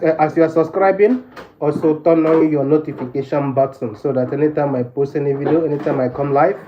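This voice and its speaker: A man from Nigeria with a Nigerian accent hosting a casual livestream, addressing viewers and repeating his phrases insistently. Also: mid-range voice. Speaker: live stream host. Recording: dull lo-fi live stream, background noise.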